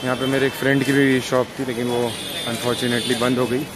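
A man's voice: medium pitch, level tone, a little fast. A man with a Mumbai accent giving a casual, informal walking-tour commentary as a vlogger, often repeating himself as he points things out. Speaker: vlogger guiding a market tour. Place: outdoors on a busy market street. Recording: loud street traffic and ambience. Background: traffic and urban street noise.

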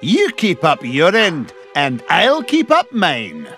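An actor playing a greedy, gloating old cartoon duck tycoon, blustering and sneering. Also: male voice, on the high side, wildly swooping pitch, slow pace, bright, forceful tone, faint music behind, full character voice.

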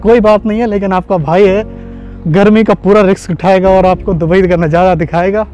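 A young man from India narrates his walk through sunny streets in a chatty travel-vlog manner. His voice sits high, and music runs clearly beneath it. He is recorded outdoors on an earbud mic with the phone held at arm's length. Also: fairly even intonation, fairly quick, dark tone, muffled recording. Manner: chatty, talks straight to the camera while walking. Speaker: vlogger. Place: sunny city streets and a bus stop, outdoors. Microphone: wireless earbud mic, phone held at arm's length.

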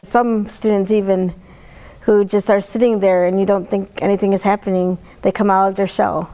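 A woman's voice: medium pitch, level tone, moderate pace, heavy background noise. A woman, talking reflectively in an interview as a music therapist. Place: indoor room.

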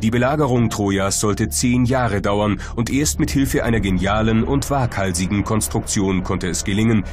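A man reading scripted narration for a documentary. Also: fairly low pitch.

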